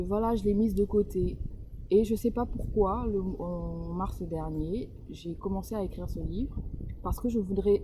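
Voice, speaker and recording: Woman, author, from Congo-Brazzaville, hesitant, frequent restarts, lowish voice, noisy recording. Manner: hesitant, many fillers and restarts. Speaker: author being interviewed. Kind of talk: casual interview. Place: home in northern Portugal.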